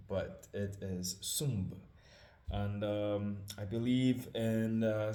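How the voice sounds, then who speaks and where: A man with a Trinidadian accent, hosting scripture readings, speaking in a casual, hesitant, humble way with many pauses and fillers. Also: on the low side, mellow, roomy sound. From Trinidad and Tobago. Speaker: scripture reader. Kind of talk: casual spoken introduction.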